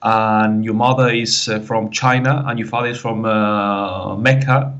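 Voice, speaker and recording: A man from the Canary Islands with a Spanish-influenced English accent tells his story casually, halting and repeating himself with many conversational fillers. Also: on the low side, fairly even intonation, fairly bright tone, lo-fi sound. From Canary Islands, Spain. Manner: halting, repetitive, full of "like, you know". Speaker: guest caller telling his story. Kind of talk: casual personal testimony, conversational.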